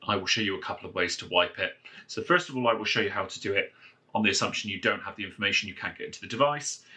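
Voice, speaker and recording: A man's voice: low-pitched, sharp tone. A man casually walking viewers through a phone reset step by step, with lots of fillers and restarts, recorded indoors at a desk. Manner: rambling, many fillers and restarts. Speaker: phone repair tutorial presenter. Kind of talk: casual how-to walkthrough. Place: indoors at a wooden desk, filming a phone from above.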